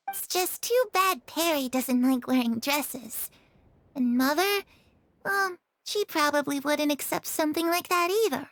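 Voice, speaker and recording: An actress playing a gentle, wistful young woman in a game, soft and dreamy with a playful, teasing streak. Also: extremely high pitch, no echo, full character voice. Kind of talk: game cutscene voice acting.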